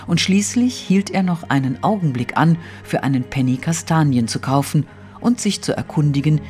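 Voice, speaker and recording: Measured male storyteller over music. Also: on the high side, darkish tone. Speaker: narrator. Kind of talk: scripted audio drama narration in literary German.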